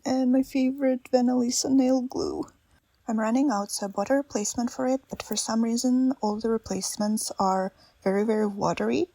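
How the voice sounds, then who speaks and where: Woman, Russian accent, gentle ASMR voiceover, a touch of vocal fry, dry close mic, self-deprecating. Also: fairly high pitch, fairly even intonation, fairly quick, no echo. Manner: soft, self-deprecating, gently chatty with little laughs. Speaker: nail art creator. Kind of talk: soft-spoken ASMR voiceover.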